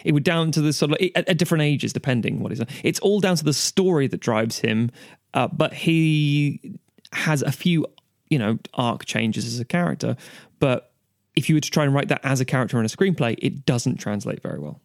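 A man's voice: medium pitch, brisk, creaky, no echo, studio quality. A man in a casual podcast discussion, giving opinions emphatically and voicing imagined quotes as he argues. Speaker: podcast panelist.

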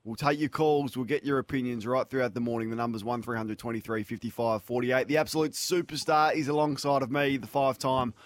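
A man with an Australian accent hosting a sports radio show, delivering news and reading out lists briskly. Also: mid-range voice, fairly even intonation, dry recording.

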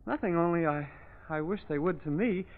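Guy doing a meek little spirit fan, highish wavering pitch, hesitant, tinny old radio. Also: brisk, lightly acted. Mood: timid, wistful. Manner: hesitant, meek, repeating himself. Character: timid, fawning, awestruck seance devotee. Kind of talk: old-time radio drama acting. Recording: thin, phone-like vintage radio.

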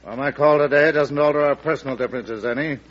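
An actor playing a stiff, urgent, patriotic army general in a radio drama, speaking formally and insistently. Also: male voice, mid-range voice, fast talker, fairly bright tone, natural voice. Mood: stiff, earnest. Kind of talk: old-time American radio drama acting. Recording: dull, noisy vintage radio recording.

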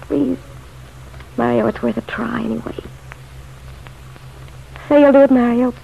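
A woman's voice: a little high, steady tone, measured pace, vocal fry. An actress playing a bitter, pleading, lovelorn city woman in a vintage radio drama, blunt, slangy and insistent. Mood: eager pleading. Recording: dull, noisy old radio recording.

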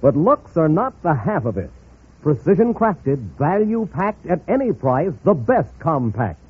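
Husky, lilting American radio pitchman. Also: very animated, unhurried. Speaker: commercial announcer. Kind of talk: rhyming scripted old radio advertisement. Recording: tinny old radio recording.

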